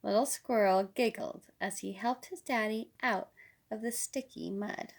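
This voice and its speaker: A woman reading a children's story aloud as scripted narration, expressive and deliberate. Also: mid-range voice, gravelly.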